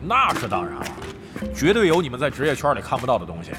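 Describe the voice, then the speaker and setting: A man's voice: medium pitch, wildly swooping pitch, quick pace, over music. An actor playing a brash, crafty middle-aged veteran gamer in a Chinese audio drama, cocky and slangy, grumbling and swearing as he banters. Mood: opinionated.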